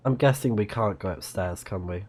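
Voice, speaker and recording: Male game streamer, low-pitched, thinking out loud and reading in-game text casually. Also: normal speed, a little hiss or hum. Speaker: let's play streamer.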